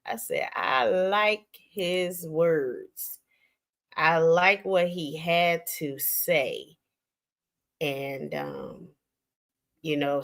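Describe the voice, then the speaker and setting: An older woman hosting a casual late-night livestream, talking in a slow, reflective, rambling way with many pauses. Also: fairly low pitch, bright, forceful tone. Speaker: livestream host.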